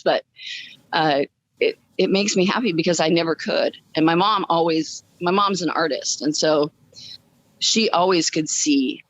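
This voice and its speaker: A woman's voice: low voice, poor audio. A woman guest telling her personal story in a casual, upbeat way during a podcast interview. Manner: upbeat, chuckles as she talks.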